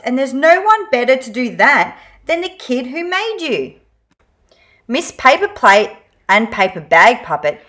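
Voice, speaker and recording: A woman's voice: sits high, fairly bright tone, pitched above the performer's own voice, exaggerated voice. Woman doing an earnest spork toy. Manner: earnest, matter-of-fact. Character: earnest young spork toy, puzzled then rallying.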